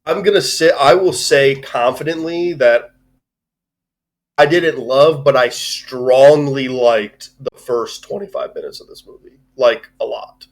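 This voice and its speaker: A man's voice: medium pitch, unhurried. A man hosting a casual movie review chat, giving opinionated but hedged takes with frequent digressions. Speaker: podcast host.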